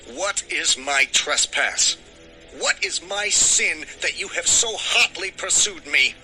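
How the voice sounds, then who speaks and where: A man's voice: a little high, lively intonation, takes it slowly, pushed and bright, faint music behind, exaggerated voice, rough recording. An actor playing an angry, aggrieved herdsman in a dramatized scripture recording, declaiming with impassioned force. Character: Jacob, angry, aggrieved long-serving herdsman protesting injustice. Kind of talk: dramatized audio scripture acting.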